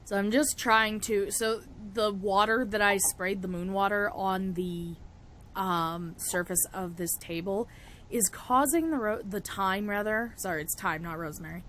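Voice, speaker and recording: A young woman with a cold-roughened, raspy voice, chatting casually through a spell-making tutorial and laughing often. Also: mid-range voice, measured pace, a bit bright, a little hiss or hum. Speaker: witchcraft vlogger.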